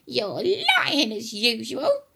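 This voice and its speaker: Shrieking, scornful old grandma. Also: female voice, very high-pitched, wildly swooping pitch, a little slow, gravelly, pitched above the performer's own voice, full character voice. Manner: shouting and shrieking, sneering. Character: scrawny, bossy, scornful old grandma with a wrinkled face. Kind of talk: children's storybook character reading.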